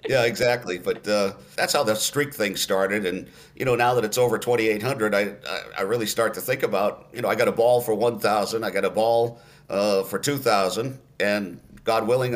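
A man in his sixties, a radio play-by-play announcer, telling stories in a relaxed interview, unhurried with many fillers and restarts. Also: lowish voice. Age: 60s.

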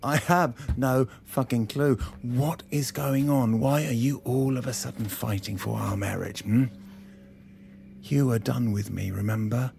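An actor playing a hurt, angry estranged husband in a naturalistic audio drama, halting and stammering as he repeats himself. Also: medium pitch, gravelly, darkish tone, a little hiss or hum. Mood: angry, exasperated.

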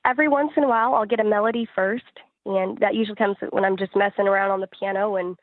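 A woman's voice: mid-range voice, steady tone, quick pace, dry recording, phone-quality sound. A woman singer-songwriter answering interview questions in an earnest, reflective, conversational way.

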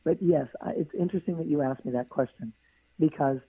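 Hoarse woman on a phone line. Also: low-pitched, fairly quick, dry recording. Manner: flowing, builds long lists of phrases. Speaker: guest author. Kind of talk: radio interview answers. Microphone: phone call.

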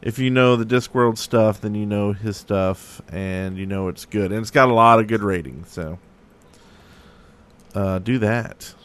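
A man's voice: fairly low pitch, unhurried, a bit bright. A man co-hosting a podcast in casual group banter, riffing on absurd jokes. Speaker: podcast co-host.

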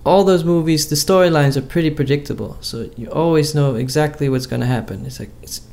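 A man's voice: fairly high pitch, brisk, vocal fry, a little mellow. A man co-hosting a casual chat, talking in a hesitant way with many fillers and restarts.

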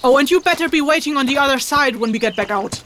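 A woman's voice: sits high, quick pace, a bit bright, a little hiss or hum. A woman playing a stern, reserved, easily exasperated traveling mentor in a fantasy audio drama, speaking curtly. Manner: curt, hesitant, exasperated delivery.

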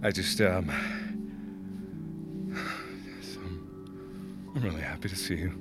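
An actor playing a wounded, weary, stoic man in an audio drama, speaking haltingly through pain. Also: low-pitched, loud music behind the voice. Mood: weary, tender. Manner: halting, downplaying his pain. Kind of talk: naturalistic audio drama acting.

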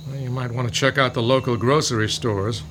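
A man's voice: medium pitch, fairly even intonation, fairly quick, fairly bright tone, a little hiss or hum, lightly acted. A man playing a lecturing fungus professor. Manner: lecturing, explanatory. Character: male professor of fungi, lecturing, patronizing. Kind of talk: modern audio drama acting.

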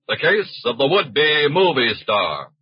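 Animated male old-time radio crime narrator. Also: a little high, slow, breathy edge, no echo. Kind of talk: scripted dramatic 1940s radio narration. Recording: dull old radio broadcast recording.

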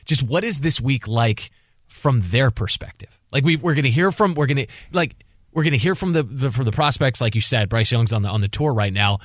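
A man's voice: mid-range voice, lively intonation, a little fast, dry recording, clean studio sound. A man co-hosting a sports radio show, talking in a casual conversational style.

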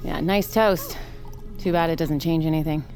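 Sardonic, world-weary woman played by an actress, slightly low pitch, dry delivery for fiction podcast drama. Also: moderate pace, music in the background, close to an everyday voice. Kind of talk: naturalistic fiction podcast acting.

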